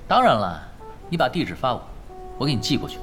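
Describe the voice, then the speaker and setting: A man plays a shrewd young veteran gamer in a radio drama. He is easygoing and mock-modest, with a mid-range voice that moves animatedly through dry teasing, set over clear background music. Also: mid-range voice, sing-song, fast, lightly acted. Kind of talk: Chinese radio drama acting.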